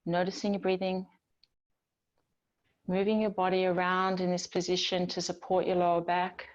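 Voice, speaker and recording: A woman teaching yoga, guiding relaxation in a gentle, soothing, unhurried manner with long pauses between phrases. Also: on the low side, flat delivery, highs cut off. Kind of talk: guided yoga and relaxation instruction.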